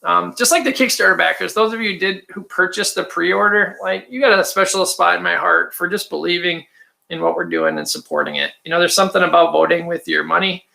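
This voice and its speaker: Raspy, gravelly man. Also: sits high, animated, a little fast, bright, forceful tone, low-quality recording. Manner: rambling and chatty, frequent ums and throat clearing. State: raspy from allergies or stress. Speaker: filmmaker hosting a livestream. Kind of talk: casual livestream chat reading viewer comments.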